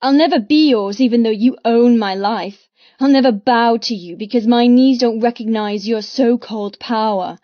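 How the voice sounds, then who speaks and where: A woman's voice: on the high side, normal speed, no room sound, highs cut off, natural voice. A woman voicing a defiant young captive heroine in an audiobook, with emphatic, impassioned delivery in short, spat phrases. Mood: furious defiance. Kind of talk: dramatic audiobook character dialogue.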